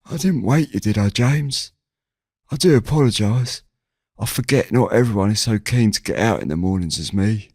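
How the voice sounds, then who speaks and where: A man's voice: lowish voice, expressive, moderate pace, a little mellow, breathy edge, no echo, clean studio sound, voice pushed up. A man voicing a chatty, nosy old village grandfather in an audio drama, folksy and grumbling in manner. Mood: apologetic. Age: older. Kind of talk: audio drama character dialogue.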